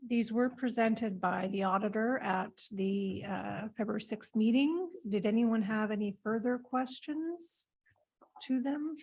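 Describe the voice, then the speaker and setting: Woman, finance staff, raspy with vocal fry, plain reporting tone. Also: on the high side, unhurried, darkish tone, low-quality recording. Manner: matter-of-fact. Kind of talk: reporting at a meeting.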